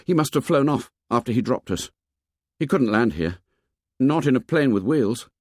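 A man's voice: medium pitch, expressive, normal speed, a little mellow, dry recording, clean studio sound, pitched above the performer's own voice, lightly acted. A man voicing an offhand, confident schoolboy in an audiobook reading. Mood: assured. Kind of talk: audiobook character dialogue.

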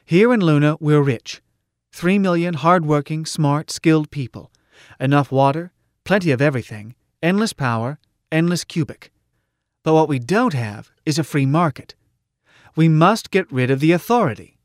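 A man's voice: fairly high pitch, animated, moderate pace, dry recording, voice pushed up, natural voice. Audiobook rebel firebrand, female male reader: rousing, insistent, punchy slogans in a lifted voice, dry close sound. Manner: emphatic, clipped slogans. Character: Wyoming, fiery rousing female revolutionary orator at a rally.

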